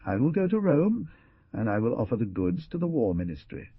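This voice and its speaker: A man's voice: mid-range voice, very animated, brisk, husky, no echo, voice pushed up. A man voicing a troubled young man who pleads and dreams aloud in a weak, sweet voice. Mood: passionate. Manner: passionate, pleading speech. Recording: dull, dry recording.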